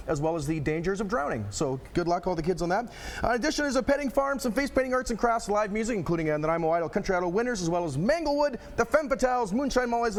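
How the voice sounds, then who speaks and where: A man's voice: high-pitched, a little hiss or hum. A man presenting an upbeat local TV magazine show in a chatty, jokey way. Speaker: TV show host.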